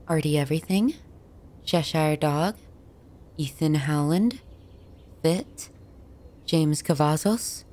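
A woman's voice: low voice, dark tone. A woman hosting her channel, reading a scripted thank-you outro. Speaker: ASMR voice performer, channel host.

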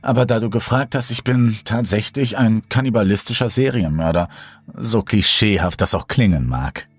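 A man's voice: lowish voice, moderate pace, slightly rough, no echo, voice pushed up. A man voicing a teasing cannibal killer woman. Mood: playful. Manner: teasing, coolly condescending.

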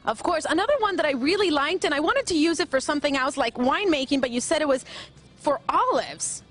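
A woman's voice: sits high, lively intonation, fast, a bit bright, soft background music. A young woman reporting live for morning TV with a handheld mic in a big museum hall, bubbly and chatty. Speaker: field reporter. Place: large indoor museum hall.

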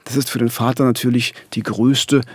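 A man's voice: medium pitch, level tone, normal speed, dry recording. Middle-aged man hosting, dry studio sound. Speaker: podcast host. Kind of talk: true-crime storytelling, explanatory narration. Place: dark radio studio. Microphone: studio microphone with headphones.

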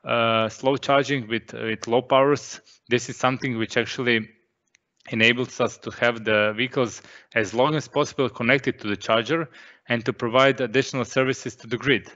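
Man, bright tone, explanatory panelist, lo-fi call. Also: medium pitch, steady tone, normal speed. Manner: explanatory, repeats words while thinking. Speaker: energy expert panelist. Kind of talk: technical explanation. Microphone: video call mic. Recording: dull lo-fi call audio.

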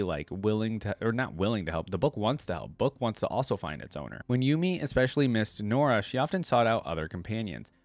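Low-voiced young man, dry close sound. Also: dry recording. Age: young adult. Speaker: reaction video host. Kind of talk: casual reaction commentary mixed with reading a story aloud. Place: indoor room at a streaming setup. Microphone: desk microphone up close.

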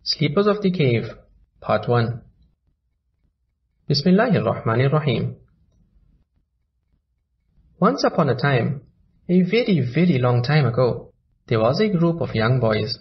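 Lively man reading a children's story. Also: mid-range voice, a little slow, pauses often, muffled recording. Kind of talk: scripted children's story narration.

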